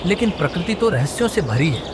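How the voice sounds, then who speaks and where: A man's voice: sits high, very animated, a little fast. A man narrating a scripted moral story for children as a storyteller. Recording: clearly noisy background.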